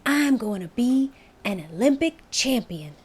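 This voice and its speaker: A woman's voice: on the high side, expressive, unhurried, a little hiss or hum, pitched above the performer's own voice. A woman voicing an eager, determined nine-year-old boy in an earnest, pleading way for a storybook.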